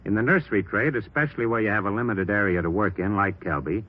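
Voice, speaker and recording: On a dull old radio recording, a shrewd American police captain lays out his orders. The voice is fairly low, brisk and authoritative, with the decisive air of a boss in a crime serial. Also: husky. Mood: resolute. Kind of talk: 1950s radio drama acting.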